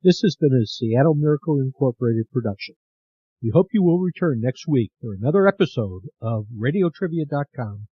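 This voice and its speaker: Husky guy doing a scripted radio announcer read, dry and kinda dull sound. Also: medium pitch, lively intonation, a little fast, no room sound. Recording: dull, band-limited sound.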